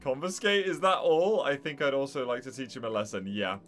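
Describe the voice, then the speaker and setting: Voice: medium pitch, brisk, a bit bright. A gamer giving casual, informal playthrough commentary with short reactions. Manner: informal reactions, reads some game text aloud. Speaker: gaming video maker. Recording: game music behind the voice.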